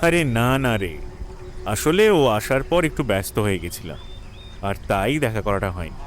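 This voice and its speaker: A man's voice: lowish voice, animated, a little slow, a little hiss or hum. An actor playing a cautious, respectful young village man in an audio drama, speaking in a somewhat hesitant way. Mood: friendly, apologetic. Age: young adult.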